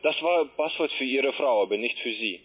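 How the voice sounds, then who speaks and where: Halting non-native salesman on a muffled phone line. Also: medium pitch, expressive. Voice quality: non-native German with grammatical slips. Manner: halting, repeats himself. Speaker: call centre agent pitching online trading. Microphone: telephone.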